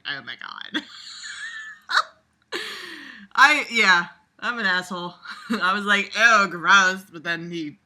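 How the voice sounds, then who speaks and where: A woman's voice: fairly low pitch, pushed and bright. A woman casually reading aloud with mocking commentary, sarcastic, exasperated and foul-mouthed. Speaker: amateur reader and commentator with a regular audience.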